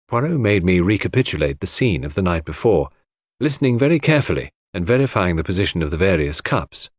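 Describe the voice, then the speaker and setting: Male audiobook narrator, fairly low voice, expressive range, literary storytelling, dry studio-like closeness. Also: fast, dry recording. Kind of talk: scripted dramatic literary narration.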